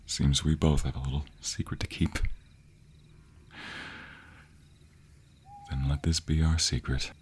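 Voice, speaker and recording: Man acting a very deep-voiced, earnest vampire boyfriend. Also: level tone, fairly quick, heavily acted. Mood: tender, loving. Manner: earnest, hesitant, pleading, often breathy and hushed. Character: devoted young male vampire boyfriend, secretive, protective. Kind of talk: intimate romantic audio roleplay.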